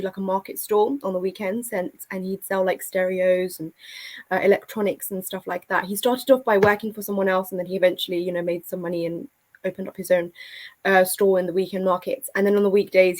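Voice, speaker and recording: A woman with a London accent, a podcast guest talking candidly and conversationally about personal experience, often saying like and you know. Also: medium pitch. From UK, born in India to an Afghan family, moved at three. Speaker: podcast guest, author and speaker. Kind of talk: candid personal storytelling in an interview.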